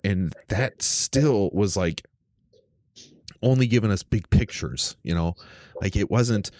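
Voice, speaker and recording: A man in his thirties hosting a casual theology podcast, talking in a halting, searching way. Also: sits low, darkish tone, dry recording, clean studio sound. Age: 30s. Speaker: podcast host.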